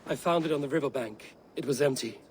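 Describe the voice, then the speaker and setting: An actor playing a calm Viking raider questioning suspects in a video game, measured, serious and direct. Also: male voice, mid-range voice, a little hiss or hum, natural voice. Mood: pressing. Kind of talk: dramatic game dialogue acting.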